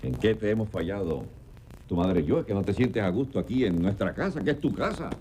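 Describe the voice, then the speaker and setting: A man's voice: fairly low pitch, animated, brisk, dark tone, a little hiss or hum. A man playing a father in a sitcom scene, speaking to his son in a coaxing, fatherly way.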